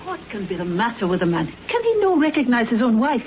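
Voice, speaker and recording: Forthright Scottish neighbour, tinny recording. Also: female voice, a little high, very animated, fairly quick. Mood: indignant, certain. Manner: emphatic, certain. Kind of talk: radio drama acting. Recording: tinny band-limited radio recording with hiss.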